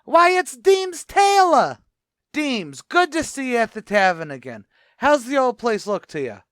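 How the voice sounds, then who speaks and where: Man playing a high-pitched, brash New York tavern host. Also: very animated, a little slow, a bit bright, clear, resonant tone, dry recording, clean studio sound, heavily acted. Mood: eager, chatty. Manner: slangy, mangled grammar, eager chatter. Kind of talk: old-time radio sitcom acting.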